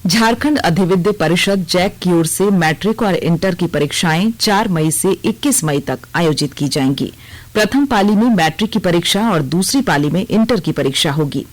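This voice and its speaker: A woman's voice: on the low side, animated, normal speed. A woman reading a scripted regional radio news bulletin as the newsreader.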